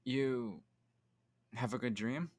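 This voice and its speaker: A man voicing an eager, friendly young creature in an animated comic dub, earnest and chatty. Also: mid-range voice, takes it slowly, no room sound, clean studio sound.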